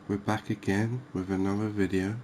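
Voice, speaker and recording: Male ASMR game host, soft and unhurried, very low register, monotone delivery. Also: a little hiss or hum. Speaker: gaming video host.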